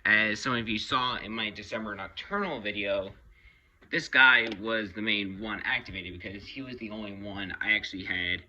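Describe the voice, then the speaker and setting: A man giving a casual, unscripted review of his hobby collection, talking haltingly and pausing mid-phrase. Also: lowish voice, pushed and bright, muffled recording. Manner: halting, rambling delivery with pauses mid-phrase.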